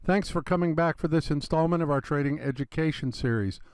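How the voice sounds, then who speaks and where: A man with a slightly congested, gravelly voice, explaining a trading chart in a relaxed lesson and calling his viewers "you guys". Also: a little high, fairly quick, no room sound, studio quality. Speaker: trading educator. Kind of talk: screen-recorded trading lesson.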